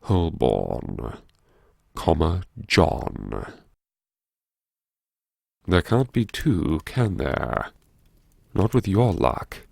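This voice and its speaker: A man performing a first-person audiobook monologue as a brooding, wry character who anxiously lectures himself in a theatrical, musing way with dramatic pauses. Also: very deep voice, sing-song, gravelly, a little mellow, slightly rough, no room sound, full character voice. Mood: sardonic brooding. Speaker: audiobook narrator.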